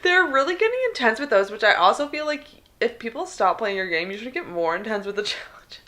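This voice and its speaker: Lively laid-back young woman. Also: fairly high pitch, brisk. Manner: laid-back, laughs often, slangy. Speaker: co-host. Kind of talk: casual chat while gaming.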